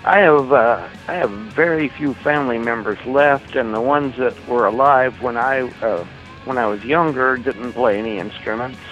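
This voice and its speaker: A man from Oklahoma being interviewed as a guitarist, answering in a thoughtful, soft-spoken way and pausing to recall things. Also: mid-range voice, dull audio, soft background music. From Oklahoma, USA. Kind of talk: casual interview chat.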